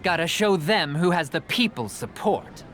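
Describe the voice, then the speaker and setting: Scrappy, defiant young man, acted by a man, cheeky and very lively in mid pitch, game dub over music. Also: moderate pace, close to an everyday voice. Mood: supportive, cheeky. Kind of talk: English anime game dub acting.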